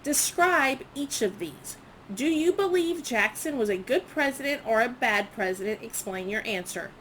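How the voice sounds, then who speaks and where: A woman's voice: a little high, lively intonation, moderate pace, a bit bright, a little hiss or hum, rough recording. A woman history teacher reading quiz questions aloud to students, enunciating each prompt item by item in an instructional way.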